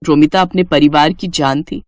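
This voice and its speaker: A woman's voice: deep voice, fairly even intonation, moderate pace, a little mellow, no echo, studio quality. A woman with an Indian accent narrating a scripted moral story as a storyteller.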